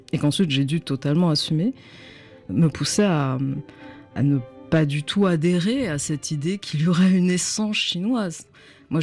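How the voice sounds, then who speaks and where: A woman's voice: sits low, darkish tone. A woman novelist whose first language was Chinese, speaking in a radio studio interview, reflective and searching for words. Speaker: novelist guest.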